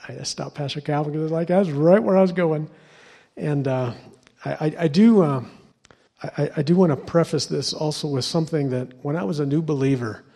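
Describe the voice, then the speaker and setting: A man's voice: mid-range voice, gravelly, dark tone, slightly rough. A man from Ohio giving a sermon to a church congregation as a guest lay preacher, stumbling and repeating words with lots of fillers. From Ohio, USA.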